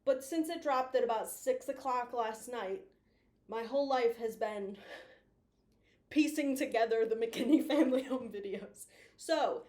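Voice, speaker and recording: A woman's voice: fairly high pitch, unhurried, darkish tone, echoey room. A woman chatting casually as a video host giving commentary, playful and teasing, with the odd mild swear. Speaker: YouTube host reviewing analog horror.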